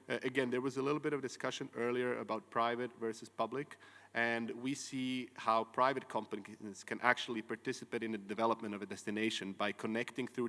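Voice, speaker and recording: A man's voice: medium pitch, fairly bright tone. Croatian man speaking in a reverberant hall. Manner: earnest, frequent "uh" and restarts. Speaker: company founder and CEO. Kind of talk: conference keynote talk. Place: large conference hall.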